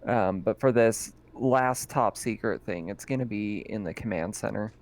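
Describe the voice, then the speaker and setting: A man's voice: lowish voice, normal speed, a little mellow. A man running a tabletop game as game master, talking loosely with plenty of ums and restarts. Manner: loose and chatty, many fillers and restarts.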